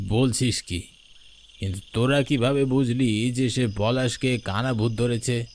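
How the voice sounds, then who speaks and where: A man's voice: medium pitch, steady tone, a little slow, darkish tone, lightly acted. A man voicing a stern, concerned middle-aged father with a firm, questioning manner in a horror cartoon. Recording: faint noise with night insects.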